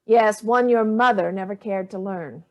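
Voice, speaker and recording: A woman's voice: mid-range voice, moderate pace, a little mellow, highs cut off, close to an everyday voice. A woman in a staged play reading, playing an imperious, cutting grandmother with a dry, lofty manner.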